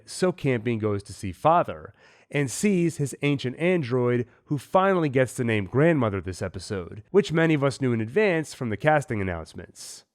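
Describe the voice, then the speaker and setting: A man hosting a TV recap, talking with a cold in a measured, analytical way. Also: mid-range voice. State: has a cold. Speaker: host. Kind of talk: TV episode recap and theories.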